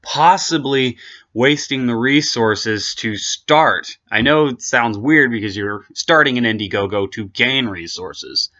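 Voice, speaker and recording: A man's voice: medium pitch, measured pace, pushed and bright, lo-fi sound. A man hosting an anime commentary video, giving his opinion in a rambling, casual way full of restarts and asides.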